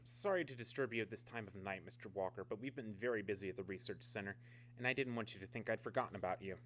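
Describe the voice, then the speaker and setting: Stern, low-voiced army major played by a man. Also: fast, fairly bright tone, slight background noise, lightly acted. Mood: politely formal. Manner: formal, clipped, insistent. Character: stern, officious, skeptical army major pressing for answers. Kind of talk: mid-century American radio drama acting.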